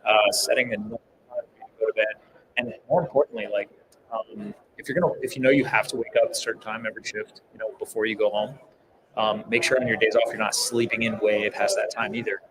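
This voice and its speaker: Man, low monotone voice, eager explainer, noisy live floor. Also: fast. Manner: explanatory, enthusiastic about sleep science, many fillers. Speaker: podcast co-host. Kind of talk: casual podcast chat.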